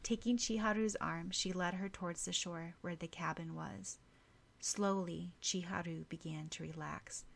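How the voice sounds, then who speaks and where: A woman's voice: on the low side, fairly even intonation, measured pace, creaky. A woman reading scripted fiction as an audiobook narrator.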